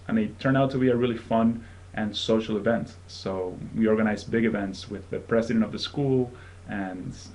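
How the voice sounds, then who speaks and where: A man's voice: fairly low pitch, darkish tone, rough recording. Young man presenting college admissions. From Venezuela. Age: 20s. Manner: friendly, conversational, with frequent ums. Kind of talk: live online presentation to prospective students.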